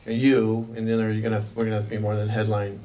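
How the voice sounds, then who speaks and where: A man acting as interviewer in a mock job interview, asking questions in a halting way and often restarting them. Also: on the low side, monotone, mellow. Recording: distant mic with faint background noise.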